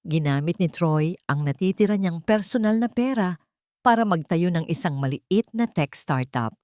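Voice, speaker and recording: A woman narrates a romantic story with very lively, swooping intonation. Her voice sits on the low side, and the delivery is dramatic and sentimental. The sound is dry and close, with little room in it. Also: moderate pace, no room sound.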